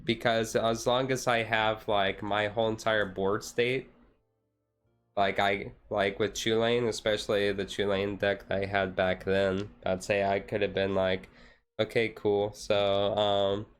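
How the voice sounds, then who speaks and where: A man streamer chatting casually in a relaxed, rambling way on a chill hobby stream. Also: lowish voice, unhurried, clear, resonant tone, soft background music.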